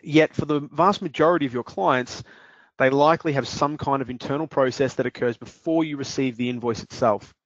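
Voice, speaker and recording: A man presenting a product demo on a webinar, talking in a measured, explaining way with some fillers. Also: medium pitch, dry recording. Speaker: webinar presenter, business development manager. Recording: dry dull lo-fi audio.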